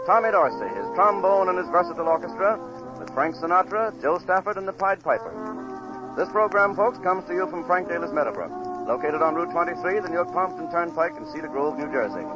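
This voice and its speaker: A man's voice: high voice, animated, brisk. A man with an American accent announcing on a 1940s radio broadcast in formal, flowery showman's patter. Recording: dull 1940 radio broadcast, music behind. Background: big band music.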